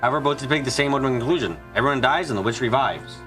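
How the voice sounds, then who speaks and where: Male streamer, fast read-aloud of game narration, occasional stumbles on names, light music underneath. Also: medium pitch, fairly bright tone. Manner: stumbles over words and names while reading. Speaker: streamer doing an English fan dub of a game. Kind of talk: live read-aloud dubbing of visual novel narration and dialogue.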